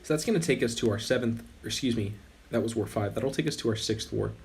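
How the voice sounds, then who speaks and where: A man's voice: mid-range voice, fast talker, creaky. Man, young adult, losing his voice, casual gaming commentary, rambling, self-correcting. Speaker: gaming channel host.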